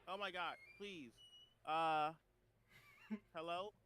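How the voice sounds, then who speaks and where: A man's voice: a little high, steady tone, takes it slowly, a crowd in the background. A man, a voice actor guest, chatting casually and hesitantly on a noisy microphone with clicks.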